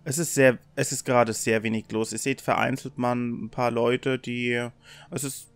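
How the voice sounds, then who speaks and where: Guy game mastering a tabletop rpg, casual, dry sound w soft music. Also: medium pitch, level tone, normal speed, no room sound. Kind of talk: unscripted tabletop game narration. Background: faint music.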